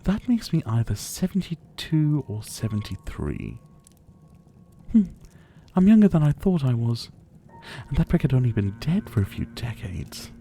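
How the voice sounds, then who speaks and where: Man playing a dark-voiced, drawling vampire captor. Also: mid-range voice, very animated, a little hiss or hum. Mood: wry, amused. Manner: slow, drawling, mockingly polite, with breathy chuckles. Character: menacing, teasing male vampire captor, silver-haired, about seventy. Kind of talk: intimate ASMR roleplay monologue.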